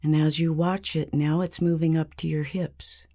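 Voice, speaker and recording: A woman narrating a scripted guided meditation in a calm, measured, soothing manner with long pauses. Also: sits low.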